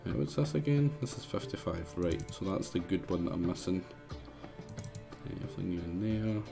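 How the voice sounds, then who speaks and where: A man's voice: deep voice, expressive, fairly quick, gravelly, music in the background. A man with a Scottish accent giving casual, chatty commentary as he plays a game live. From Scotland. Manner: chatty, muttering to himself. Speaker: streamer.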